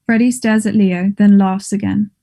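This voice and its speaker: Mellow woman reading stage directions. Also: medium pitch, steady tone, moderate pace. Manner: matter-of-fact. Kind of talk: scripted narration of stage directions.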